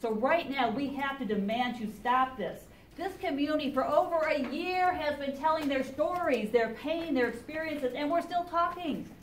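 Woman, middle-aged, fairly high voice, emphatic public testimony, picked up from off in a roomy hall. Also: moderate pace, few pauses. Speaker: chaplain and activist speaker at a public meeting. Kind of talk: public testimony, reading a statement and appealing. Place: public library meeting room, indoors. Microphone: camera a few metres away. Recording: echoey meeting room, distant camera, faint noise.

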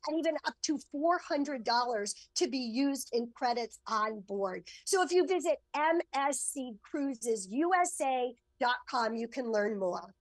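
High-voiced middle-aged woman travel expert. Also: animated, a little slow, hardly any pauses, no echo, poor audio. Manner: enthusiastic. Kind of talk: upbeat promotional travel segment. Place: on board a cruise ship, remote link.

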